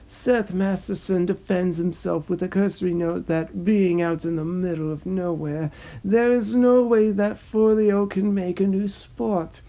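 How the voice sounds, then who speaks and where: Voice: mid-range voice, fairly even intonation, unhurried, dark tone. A narrator reading a script in a formal, pompous, long-winded and droning way. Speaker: narrator and host. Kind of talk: scripted narration of a cancelled film pitch.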